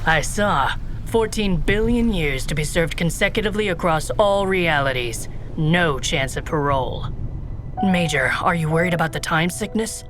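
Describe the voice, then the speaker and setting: Cool-headed secret agent. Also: female voice, deep voice, lively intonation, normal speed. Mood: calm. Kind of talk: tongue-in-cheek spy game acting.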